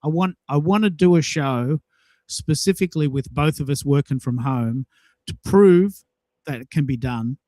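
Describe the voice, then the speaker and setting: A man's voice: fairly high pitch, moderate pace, dark tone, no echo. A man with an Australian accent hosting a show in casual chat, enthusiastic and emphatic as he talks. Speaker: show host.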